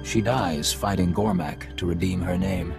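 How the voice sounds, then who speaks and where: An actor playing a solemn alien mystic and husband, speaking in a formal, grave, measured way in a game cutscene. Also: male voice, deep voice, steady tone, gravelly, heavily acted. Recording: game cutscene with loud score. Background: orchestral music.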